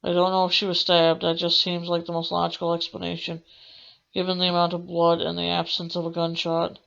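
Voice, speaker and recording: A woman's voice: fairly low pitch, monotone, a little fast, vocal fry, fairly bright tone, dull audio. A woman hosting a gaming let's play, reading aloud in a dramatic manner with the odd stumble. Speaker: gaming channel host.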